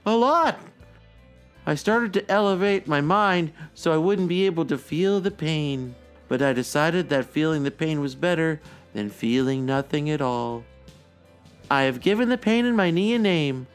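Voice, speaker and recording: A man giving a deliberate, melancholic dramatic reading of a journal. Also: on the high side, animated, clean tone. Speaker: reader in a dramatic reading of a fictional blog. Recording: music behind the voice. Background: background music.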